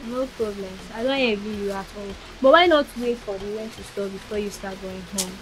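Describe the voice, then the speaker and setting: A woman's voice: mid-range voice, a little fast, slight background noise, close to an everyday voice, low-quality recording. An actress playing a breezy young Nigerian city girl teasing her friend.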